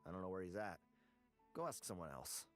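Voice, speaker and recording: A man's voice: low-pitched, sing-song, brisk, a bit bright, faint music behind. A man playing a disgruntled, jealous researcher in a video game, curt, defensive and grumbling. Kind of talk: video game character dialogue.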